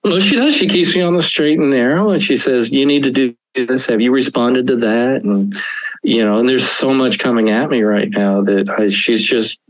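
A man's voice: mid-range voice, moderate pace, no echo, low-quality recording. A man in his fifties, an author being interviewed, talking earnestly and chuckling often. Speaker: author guest. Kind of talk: conversational interview.

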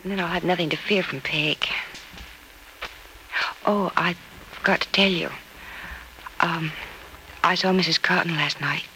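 An actress playing a selfless, earnest young American daughter in a sincere, emotive old radio soap opera style. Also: female voice, fairly low pitch, fairly even intonation, a little slow, long pauses, sharp tone. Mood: resolute. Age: young adult. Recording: noisy vintage radio drama recording.

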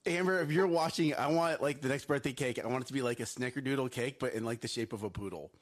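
A man co-hosting a casual live morning show, excitable and jokey, laughing often. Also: medium pitch, fast, slight background noise. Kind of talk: casual live-stream chat.

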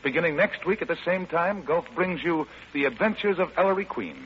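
American man, polished theatrical delivery, slightly high lively voice, 1940s radio style, muffled hissy recording. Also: a little fast. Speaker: radio show host and detective lead. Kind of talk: 1940s radio presenting and acting.

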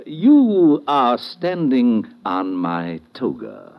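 An actor playing a pompous, long-winded philosophizing professor with florid, lofty phrasing in an old-time radio comedy. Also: male voice, medium pitch, huge pitch swings, very slow, highs cut off, over loud music, exaggerated voice. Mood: pompous, apologetic.

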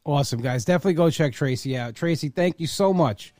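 A man's voice: a little high, fast, darkish tone, no echo, studio quality, faint music behind. A man of forty hosting a podcast, talking casually and rambling with many restarts. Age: 40s. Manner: rambling, restarts often, says like a lot. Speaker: podcast host.

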